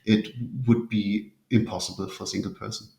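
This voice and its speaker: A man's voice: on the low side, level tone, fairly quick, vocal fry, dark tone. A native German man speaking English as an interview guest, explaining his publishing work earnestly and choosing his words carefully. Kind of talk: conversational interview. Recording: roomy, echoing sound.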